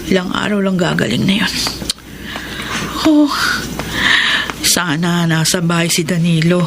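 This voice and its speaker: A woman's voice: fairly low pitch, fairly even intonation, measured pace, noisy background. A Filipino actress playing a poor, emotional mother and long-suffering wife in a radio melodrama. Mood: worried. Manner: emotional, pleading and reproachful.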